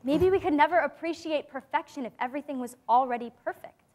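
A young woman playing an earnest, hopeful teenage girl in hiding, in a dramatic stage reading with deliberate phrasing. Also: high voice, darkish tone, close to an everyday voice. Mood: earnest, pensive. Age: young adult.

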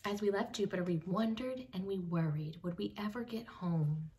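A young woman reading a children's book aloud expressively, with dramatic pauses, addressing young listeners. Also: mid-range voice, mellow. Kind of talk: expressive read-aloud storytelling. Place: small home room with bookshelves. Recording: slightly distant mic, faint background noise.

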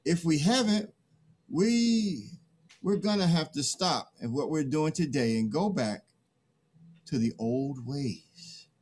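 Conscientious peacemaker, played by a middle-aged man, slow and hesitant, very animated pitch, stage reading. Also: slight background noise. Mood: earnest, troubled. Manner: hesitant, earnest. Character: conscientious man pleading for the old ways. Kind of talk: dramatic play reading. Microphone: computer mic on video call.

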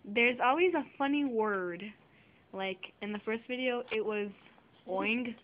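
A young girl chatting playfully in a bedroom, filming on a handheld camera, with silly drawn-out words. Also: fairly high pitch. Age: child. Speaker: vlogger. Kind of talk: goofy sleepover vlog chat. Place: small bedroom at home. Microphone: handheld camera mic. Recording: muffled lo-fi camera audio with faint hiss.

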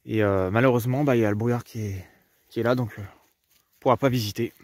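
A man's voice: medium pitch, fairly even intonation, a little fast, a little mellow, dry recording, studio quality. A young man from France narrating a casual travel vlog to a camera held at arm's length, rambling with lots of fillers, outdoors and inside a camper van. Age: young adult. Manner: chatty and rambling, with many fillers. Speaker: travel vlogger. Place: outdoors in a foggy forest and parking lot, also inside a camper van. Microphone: handheld camera at arm's length.

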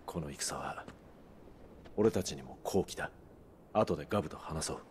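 An actor playing a brooding, terse mercenary hero in a Japanese video game, serious and restrained. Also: male voice, moderate pace, a little mellow, a little hiss or hum. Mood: grim regret. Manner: low-key, serious, restrained.

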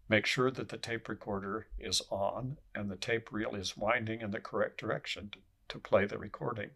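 A man reading scripted instructional narration in a measured, formal way, as an instructor would. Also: lowish voice, vocal fry, a bit bright, husky.

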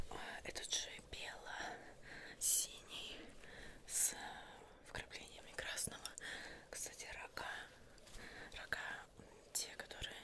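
Young woman whispering softly in ASMR roleplay. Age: young adult. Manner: soft, unhurried, coaxing whisper with repeated words. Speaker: ASMR roleplayer playing a witch tailor.

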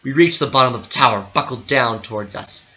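A man narrating a literary story dramatically, with theatrical, deliberate phrasing. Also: medium pitch, bright, forceful tone, poor audio.

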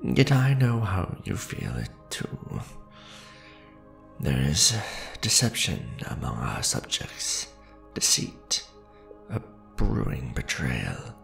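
Ancient vampire lord, played by a man for ASMR roleplay: imperious, gravelly and low, with sweeping, dramatic pitch swings. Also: wildly swooping pitch, a bit bright, faint music behind, heavily acted. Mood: grave, brooding. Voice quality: gravelly, creaky. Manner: formal, grandiose, measured, dramatic. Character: centuries-old male vampire lord, imperious, aristocratic, tender to his beloved.

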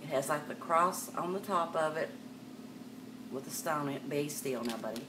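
Older woman host, low voice, chatty live-sale patter, webcam a bit far off with room noise. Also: expressive, normal speed. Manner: chatty, calls viewers darling and miss. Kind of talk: live sales stream, chatting to viewers. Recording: distant mic with background noise.

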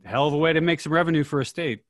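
A man hosting a casual discussion on a video call, talking in a thoughtful, halting way. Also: fairly high pitch, no echo, studio quality. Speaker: host of a play-reading discussion.

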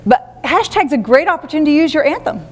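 A woman giving a keynote talk at a live seminar, handing out brisk, practical, direct advice to the audience. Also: sits high, expressive, big-room sound. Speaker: keynote speaker. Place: event hall.

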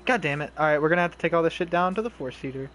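A young man chatting casually and swearing while he plays a horror game with teammates, giving quick directions. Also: on the high side, animated, a bit bright, a little hiss or hum. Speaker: streamer playing a horror survival game. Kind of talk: casual gameplay chat with teammates. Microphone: console headset mic.

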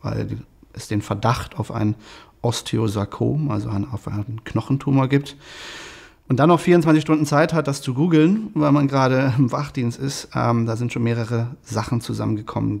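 A man's voice: medium pitch, flat delivery, normal speed, creaky, darkish tone, husky. A man in his thirties giving a reflective personal testimony as a cancer patient. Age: 30s.

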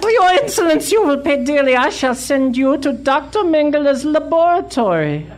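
A woman's voice: high-pitched, very animated, moderate pace. A woman playing a pompous, cowardly male dictator in comic radio theater, theatrical and sputtering. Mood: menacing, haughty.

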